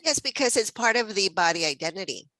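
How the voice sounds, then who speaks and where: A woman's voice: mid-range voice, expressive, brisk, pushed and bright, no room sound. A woman from Mexico hosting a spiritual text study group, thinking aloud with many fillers and easy laughs. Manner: reflective, many fillers and restarts, laughs often.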